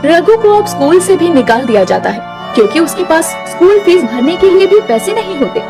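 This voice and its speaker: A woman reads scripted narration for a cartoon tale. Her voice is high and very lively in pitch, with music clearly audible behind her. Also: moderate pace.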